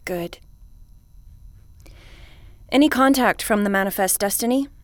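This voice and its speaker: A woman's voice: mid-range voice, a little slow, no echo, lightly acted. A woman playing a weary, stranded spaceship commander in an audio drama, earnest and dignified.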